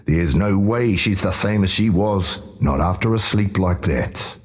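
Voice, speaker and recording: A man's voice: low voice, measured pace. A man playing a bitter, restless dead tribesman in a fantasy game, sardonic and agitated.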